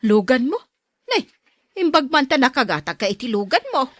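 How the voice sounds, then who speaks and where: A woman's voice: high voice, big pitch swings, moderate pace, fairly bright tone, dry recording, clean studio sound, exaggerated voice. An actress with an Ilocano Filipino accent playing a caring, encouraging older mother in a radio drama. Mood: curious. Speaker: actor in an Ilocano audio drama. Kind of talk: acted radio drama dialogue.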